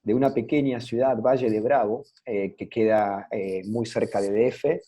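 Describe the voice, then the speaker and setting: Dark husky Rioplatense man moderating. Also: lowish voice, steady tone, moderate pace. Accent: Rioplatense Spanish. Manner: friendly, inviting guests to speak. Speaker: webinar moderator. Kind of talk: online business webinar moderating. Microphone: video call.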